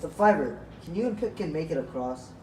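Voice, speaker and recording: A man's voice: on the high side, animated, brisk, dark tone, close to an everyday voice. A man playing a caring, cautious rabbit leader in an amateur puppet show, earnest and concerned. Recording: echoey, noisy room, far from mic.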